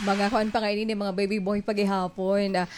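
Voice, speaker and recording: A woman's voice: medium pitch, little pitch movement, brisk, noisy background. A woman hosting a live morning radio program from an announcer's booth, chatty, laughing often and switching between languages. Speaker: radio host.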